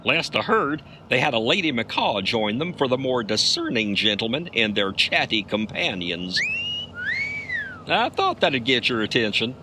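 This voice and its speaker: An actor playing a folksy, tough trucker and amateur detective who tells wisecracking stories in a comedic audio drama. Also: male voice, medium pitch, sing-song, normal speed, background noise, natural voice. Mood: wry, folksy.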